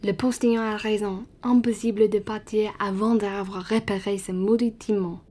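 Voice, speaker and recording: A woman in a student radio play, playing a courteous, formal aristocratic gentleman. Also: medium pitch, flat delivery, normal speed, close to an everyday voice. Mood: practical. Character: courteous aristocratic count, a man fleeing war.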